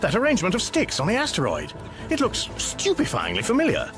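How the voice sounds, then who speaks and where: A man's voice: high voice, huge pitch swings, a little fast. A man acting a perplexed, uneasy ordinary Englishman lost in space, with an English accent, in a radio comedy. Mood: bewildered. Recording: music under the voice.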